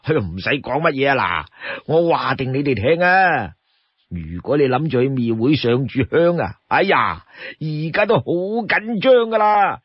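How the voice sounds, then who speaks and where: Man voicing a husky, talkative old townsman. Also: medium pitch, dry recording. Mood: confiding, helpful. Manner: animated, drawn-out sighs and confiding asides. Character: talkative old local man, folksy and obliging. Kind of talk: dramatized audiobook storytelling. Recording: dull, lo-fi, dry studio sound.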